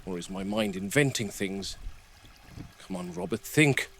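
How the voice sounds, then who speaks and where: A man playing a wary, gruff middle-aged game warden in a found-footage horror drama, speaking in a clipped, deliberate way. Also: on the low side, big pitch swings, a bit bright, heavily acted. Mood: tense, muttering. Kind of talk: found-footage horror acting, hushed muttering and radio call. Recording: noisy VHS-style found footage.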